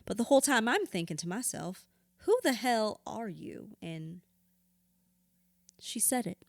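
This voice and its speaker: Bitter hurt widow in a therapy audio drama, raw n sweary, emotions swinging, very dry sound. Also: female voice, medium pitch, animated, measured pace, gravelly, no room sound. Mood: guarded, bitter. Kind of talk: audio drama acting, confessional dialogue.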